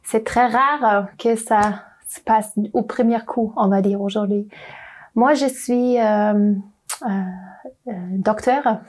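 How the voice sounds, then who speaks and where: Slow, hesitant woman, non-native speaker. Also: fairly high pitch, fairly even intonation, darkish tone. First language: not French. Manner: hesitant, searching for words, many fillers. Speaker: doctor at a clinic. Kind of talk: interview guest.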